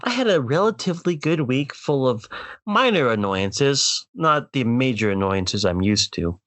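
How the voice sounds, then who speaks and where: A man's voice: mid-range voice, very animated, a little slow, fairly bright tone, dry recording. A man hosting a podcast, delivering a comedic rant in a mock-dramatic, exasperated way, straight to the listeners. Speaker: podcast host.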